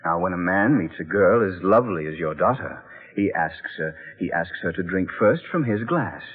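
An actor playing a persistent young adventurer in an old radio drama, American, suave, wry and insistent. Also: male voice, low-pitched, animated, moderate pace, slightly rough. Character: young man, brash, persistent adventurer-sleuth. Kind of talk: 1940s-50s radio acting. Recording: tinny old radio, faint music.

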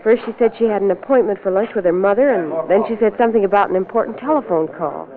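An actress playing a chatty, plain-spoken small-town waitress in a 1940s radio drama. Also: female voice, mid-range voice, fast, natural voice. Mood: chatty, confiding. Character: chatty small-town lunch-counter waitress, knows the regulars. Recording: tinny old radio broadcast, crowd behind.

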